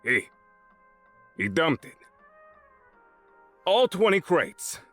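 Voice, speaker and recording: An actor playing a demanding, menacing mob boss in an audio drama, with exasperated, emphatic questioning. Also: male voice, fairly high pitch, big pitch swings, extremely slow, fairly bright tone, soft background music, exaggerated voice. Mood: incredulous, angry.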